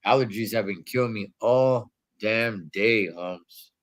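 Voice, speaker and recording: A man's voice: fairly low pitch, slow pace. A man with a stuffy nose from allergies, hosting a casual wrestling livestream from a hotel room in laid-back slangy talk. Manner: laid-back slangy talk, calls viewers dog and Holmes.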